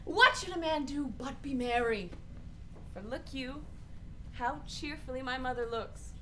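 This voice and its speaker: A woman playing a mocking, excitable young Danish prince in a Shakespearean radio play. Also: sits high, unhurried, noisy background. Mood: bitterly merry. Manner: sardonic, excitable, exclamatory.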